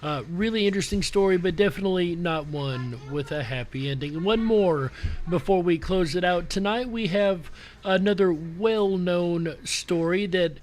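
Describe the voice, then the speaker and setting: A man's voice: sits high, moderate pace, darkish tone. A man hosting a show in a casual, conversational showman's style, speaking directly to his listeners. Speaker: show host. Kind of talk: casual live show commentary to audience. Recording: music playing behind the voice. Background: background music.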